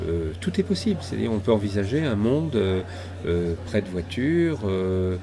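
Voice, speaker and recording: A middle-aged man from southwest France speaks in a slightly low voice, halting often to search for words and restart. A loud crowd chatters around him at a public event. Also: very animated. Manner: hesitant, many fillers and restarts. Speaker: interview guest. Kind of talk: casual interview, rambling.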